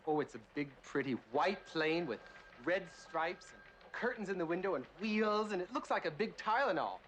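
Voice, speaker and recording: A man's voice: high voice, lively intonation, moderate pace, a bit bright, poor audio. A man playing a zany, flamboyant control-room joker in a film comedy, flippant and theatrical. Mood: gleeful, flippant. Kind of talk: absurd film comedy acting.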